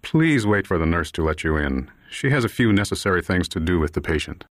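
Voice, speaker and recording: A man's voice: low-pitched, very animated, brisk, no echo, clean studio sound. A man playing a coolly polite young doctor. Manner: exquisitely polite, curt.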